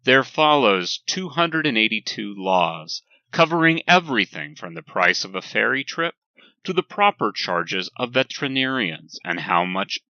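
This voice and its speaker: Male sermon reader, slow and emphatic, deliberate pauses, very crisp forceful tone, dry sound. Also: medium pitch, no echo, muffled recording. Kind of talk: scripted lecture-like sermon reading.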